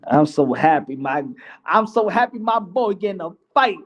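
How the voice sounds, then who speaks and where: A man with a notably high voice hosts a loose, slangy boxing chat. Spanish is his first language, and he talks informally and jokingly, stumbling over and repeating words as he goes. The sound is somewhat dull and lo-fi. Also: expressive, normal speed. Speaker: host of a boxing commentary show. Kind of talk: casual live chat commentary with slang.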